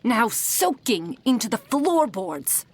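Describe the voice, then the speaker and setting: A woman's voice: low voice, expressive, slow pace, exaggerated voice. A woman voicing a possessive kitsune witch mentor in a roleplay ASMR, speaking in formal, old-fashioned phrases. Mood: distraught, scolding. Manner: breathy whispering, formal archaic phrasing.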